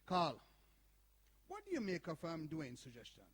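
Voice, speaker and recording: High-pitched, indignant older man played by an actor. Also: wildly swooping pitch, moderate pace, a bit bright, husky, heavily acted. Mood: earnest concern. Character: principled, indignant older man defending a youth community centre. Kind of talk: stage play dialogue. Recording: echoey live hall performance.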